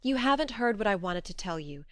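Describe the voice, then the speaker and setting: A woman voicing an earnest, strong-willed young woman who reasons gently but firmly. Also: medium pitch, expressive, a little fast, no echo, clean studio sound, close to an everyday voice. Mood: reasoning.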